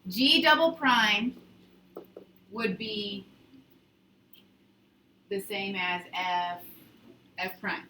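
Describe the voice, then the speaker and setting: A woman teaching high school calculus to a class, instructive, often checking understanding with short questions and prompts. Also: very slow, pauses often, bright, forceful tone. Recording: echoey classroom, distant mic, faint noise.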